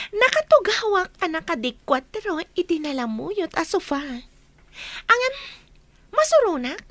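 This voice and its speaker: A woman's voice: very high-pitched, very animated, takes it slowly, fairly bright tone, dry recording, heavily acted. A woman acting in a radio drama as a scheming young mistress, pouty and gloating.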